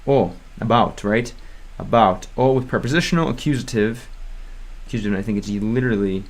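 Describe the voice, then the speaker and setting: Rambling young American man. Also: fairly low pitch. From United States. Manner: rambling, halting, lots of fillers and restarts; hesitant beginner Russian with self-corrections. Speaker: streamer learning Russian. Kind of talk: casual livestream chat.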